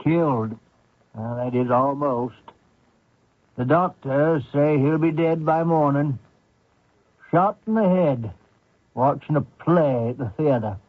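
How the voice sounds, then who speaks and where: An actor playing a blunt, folksy small-town man who probes strangers, husky, in old-time radio drama style. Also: on the high side, slow pace, long pauses, no room sound, narrow, tinny audio. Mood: chatty, blunt. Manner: folksy, probing, plain-spoken.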